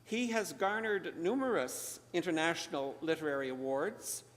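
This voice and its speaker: Formal higher-pitched man in echoey hall. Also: moderate pace, fairly bright tone. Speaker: event host introducing a speaker. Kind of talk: formal scripted introduction. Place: large hall, public lecture. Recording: echoey hall with long reverb.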